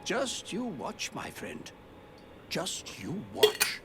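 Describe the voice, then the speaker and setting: Self-important noble, theatrical video game acting, pitch all over, music behind. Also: male voice, wildly swooping pitch, drawn-out words, heavily acted. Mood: grand, proud. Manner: pompous, florid, theatrical. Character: pompous, self-important nobleman claiming a lordship.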